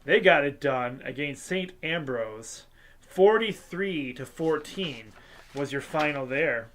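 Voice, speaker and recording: A congested host, casually reading a sports scores recap with lots of ums and uhs and small jokes. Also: male voice, on the high side, lively intonation, fairly bright tone, slight background noise. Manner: halting, filler-heavy delivery with light jokes. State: congested, sinusy.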